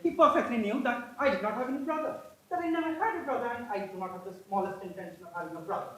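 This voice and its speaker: Performer playing a distant, echoey, indignant Victorian gentleman. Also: medium pitch, expressive, very fast, close to an everyday voice. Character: stiff, indignant Victorian gentleman guardian, formally polite. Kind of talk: theatrical stage comedy acting. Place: indoor stage, far from microphone. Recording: very echoey distant stage play recording.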